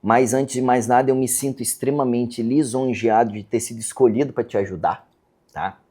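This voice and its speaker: Earnest man with a mellow voice. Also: mid-range voice, steady tone, normal speed. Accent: Brazilian Portuguese. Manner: earnest, hesitant restarts, frequent "tá?" tags. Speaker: podcast host, neurosurgeon. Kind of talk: casual interview chat. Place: podcast studio.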